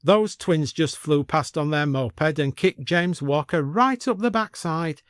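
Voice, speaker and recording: A man voicing a gossipy village woman giving excited, colloquial running commentary in an audio story. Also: on the high side, a little slow, clean tone, no echo, studio quality, voice pushed up, lightly acted. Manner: breathless gossipy chatter, colloquial.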